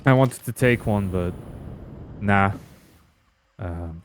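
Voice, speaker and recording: A young man with a fairly low voice talks through a game stream at an easy pace. He chats loosely with his viewers as he plays. A faint hiss sits under his desk mic. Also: takes it slowly. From Belgium. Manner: relaxed, chatty, reading and answering chat. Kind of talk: casual gameplay commentary with chat.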